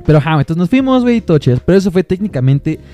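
A young man from Peru chats casually as a podcast co-host, slangy and swearing freely. His voice is slightly high with a mellow, soft tone. Faint music sits under the talk. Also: big pitch swings, very fast. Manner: slangy, swears freely, uses local filler words. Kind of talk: casual chat.

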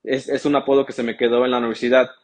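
A young man whose first language is English and who grew up in the United States speaks in a steady, flat tone as a guest in an interview. He hesitates often, restarts his sentences and fills gaps with small stalling words. His pitch stays at a middle level for a man. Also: racing. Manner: hesitant, many fillers and restarts. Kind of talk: casual interview talk.

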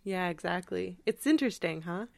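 A woman co-hosting a podcast, discussing literature in a reflective, informal way with hesitant pauses. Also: fairly low pitch, lively intonation, gravelly, a little mellow, no echo. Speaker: podcast co-host.

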